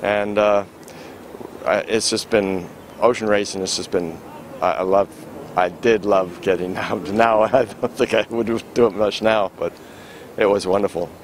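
An elderly man in his eighties, speaking into a handheld mic outdoors. His voice sits a little low, and he rambles with fillers and soft chuckles. Faint city and traffic noise hums behind him. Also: steady tone, unhurried. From Florida. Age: 80s. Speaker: sailing school owner. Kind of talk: casual outdoor interview. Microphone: handheld microphone held by interviewer.

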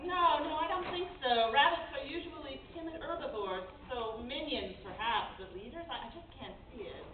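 A woman's voice: high-pitched, normal speed, natural voice. A woman playing a plucky, brainy young heroine, earnest and bossy, in a live radio play reading. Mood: analytical. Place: hotel function room on stage. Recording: echoey, distant, tinny camera audio with noise.

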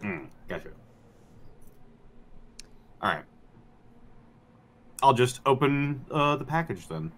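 A man's voice: mid-range voice, big pitch swings, takes it slowly, pauses often, fairly bright tone. A man taking part in a tabletop roleplaying game, talking casually in and out of character. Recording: faint background noise.

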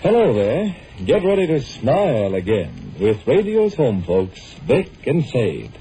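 A man's voice: mid-range voice, wildly swooping pitch, a little slow, mellow. An American man announcing a sponsored programme in 1940s radio style. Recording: noisy 1940s radio broadcast.

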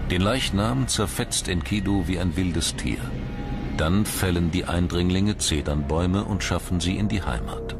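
A man reading scripted documentary narration in a measured, articulate storytelling manner. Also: sits low. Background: music.

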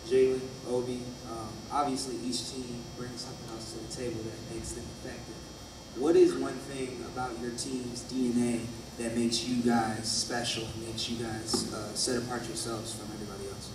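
A man's voice: mid-range voice, flat delivery, moderate pace. A man working as a reporter, asking a question at a press conference. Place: press conference room, indoors. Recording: echoey room, far from mic, noisy.

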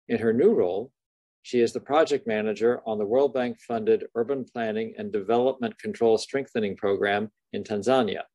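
A middle-aged man speaks as an interview host in a measured, formal way. His voice is medium in pitch, and the sound is dry, picked up on a video call. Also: a little mellow, no room sound. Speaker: interviewer, university dean hosting. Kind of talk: formal scripted introduction, then interview questions.

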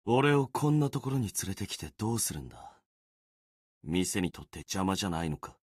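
A man voicing a gloomy, self-deprecating young warrior sword spirit in a video game, brooding and resigned, with a husky voice. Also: sing-song, a little mellow, dry recording, studio quality, full character voice. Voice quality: low, husky. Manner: brooding, resigned, muttering with trailing pauses. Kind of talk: Japanese video game character acting.

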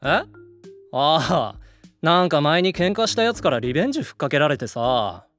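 An actor, a man, playing a brash, laid-back high school brawler in an audio drama, with rough casual speech. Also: very animated, moderate pace, a bit bright, faint music behind.

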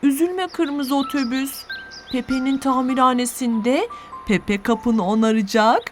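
Casting: female kids' cartoon narrator, fairly high voice, encouraging and instructive, music clearly underneath. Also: measured pace. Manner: didactic, encouraging, clearly articulated. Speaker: narrator of a children's educational cartoon. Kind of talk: scripted narration addressed to children.

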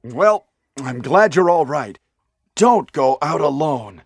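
A man's voice: on the high side, expressive, slow, vocal fry, breathy edge, dry recording, studio quality, heavily acted. An actor playing a weary, caring young male police hero in a stilted nineties video game. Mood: relieved.

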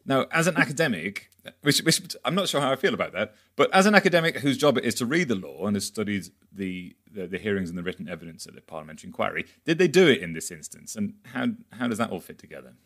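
A man with a somewhat low voice hosts an industry podcast. His questions wander and double back before landing, in an interviewer's conversational tone. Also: big pitch swings, fast talker, fairly bright tone. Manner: rambling, self-correcting questions. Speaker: podcast host.

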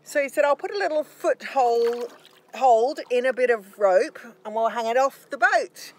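A woman's voice: fairly high pitch, expressive, normal speed, a little mellow. A middle-aged woman chatting cheerfully to her phone camera on the deck of a sailboat, laughing often. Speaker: sailing vlogger. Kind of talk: casual vlog chat. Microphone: phone selfie at arm's length.

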